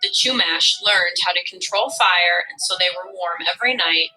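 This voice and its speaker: A woman reading a legend aloud in an expressive storytelling style. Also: on the low side, moderate pace, low-quality recording. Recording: music behind the voice. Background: music.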